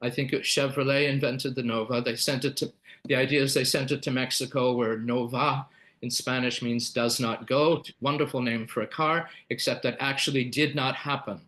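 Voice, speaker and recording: A man's voice: medium pitch, steady tone, normal speed, fairly bright tone. Man presenting a webinar, explanatory delivery with fillers and self-corrections. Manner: explanatory, many fillers and restarts. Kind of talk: live webinar talk.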